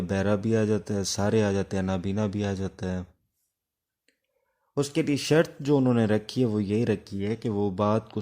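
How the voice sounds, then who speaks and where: A man with a Pakistani accent giving an informal law lecture, explaining haltingly, mixing in English terms and posing questions that he then answers. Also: lowish voice, warm tone. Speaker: law teacher.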